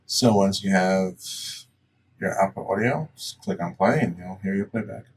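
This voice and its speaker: A man presenting a casual step-by-step software tutorial in a plain-spoken, instructional way. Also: deep voice, normal speed, husky. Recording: distant mic, faint background noise.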